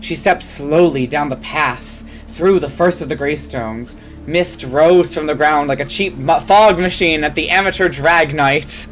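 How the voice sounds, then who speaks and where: Theatrical host reading funny spooky story, middle pitch, lots of asides, music behind. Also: a little slow, low-quality recording. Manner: theatrical, stumbles and self-corrects. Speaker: fan fiction reader and host.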